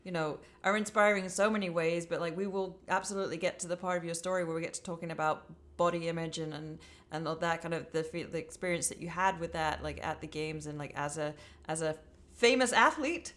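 A woman's voice: on the low side, fast, fairly bright tone. A woman in her forties co-hosting a podcast, bubbly and rambling, with lots of ums and likes. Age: 40s. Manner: bubbly, rambling, many fillers. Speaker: podcast co-host. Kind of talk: casual podcast chat.

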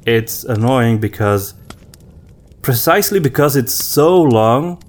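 Guy co-hosting a podcast, average pitch, casual chat, thinks it through and rewords stuff. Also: expressive, slow pace. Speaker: podcast co-host. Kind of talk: casual book discussion.

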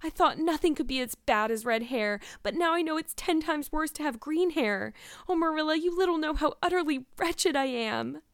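A woman's voice: high-pitched, fairly quick, dry recording, clean studio sound, voice pushed up. A woman voicing a despairing, wordy young girl in a storybook, pleading and earnest in a melodramatic way. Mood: miserable.

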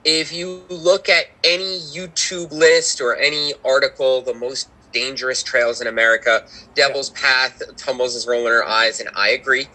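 A man's voice: mid-range voice, fairly even intonation, moderate pace, sharp tone, poor audio. A man hosting a podcast, asking questions in a playful, sarcastic way. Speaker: podcast host. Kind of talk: casual podcast interview.

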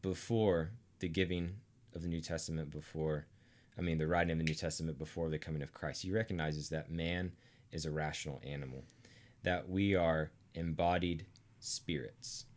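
An American man from Arkansas teaching theology conversationally, speaking deliberately and emphatically with pauses for effect. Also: rumbling low, fairly even intonation, darkish tone. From Arkansas, United States. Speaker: teacher and co-host of a theology series.

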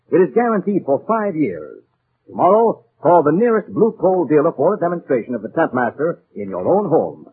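A man announcing in 1940s radio style, selling with a very lively, swinging delivery. His voice has a husky edge. The sound is band-limited and muffled like an old broadcast. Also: fairly high pitch. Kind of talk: 1940s radio advertising pitch.

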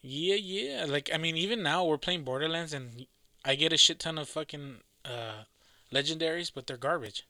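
A man chatting casually about video games with friends, animated, swearing freely and laughing often. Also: on the high side, normal speed, no echo, clean studio sound. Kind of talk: casual gaming chat.

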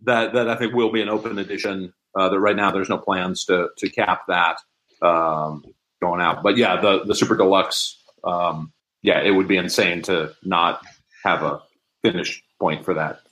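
A man's voice: low-pitched, fairly even intonation, normal speed, fairly bright tone, rough recording. A man speaking as an interview guest, explaining things in a casual, conversational way. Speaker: interview guest, audio drama producer.

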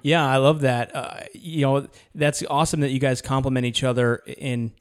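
Easygoing male podcast host, curious and friendly, medium pitch. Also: level tone, moderate pace. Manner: friendly and curious, with many restarts. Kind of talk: casual interviewing.

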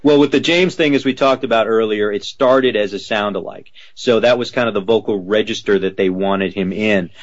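A man who works as a voice actor, answering interview questions in a lively way and slipping into character voices as he tells stories. His voice is slightly deep. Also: moderate pace, hardly any pauses, dull audio. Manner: animated, drops into character voices while telling stories.

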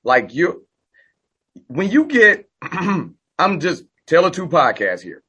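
A hoarse middle-aged man chatting casually as a podcast guest, talking with high energy. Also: sing-song, takes it slowly, pushed and bright.

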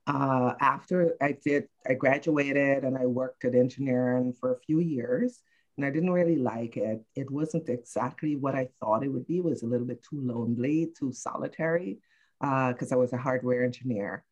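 A woman in her fifties from Guyana, a panel guest telling her life story in a measured, reflective way with frequent "uh" pauses. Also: a bass voice, a little mellow, dull audio.

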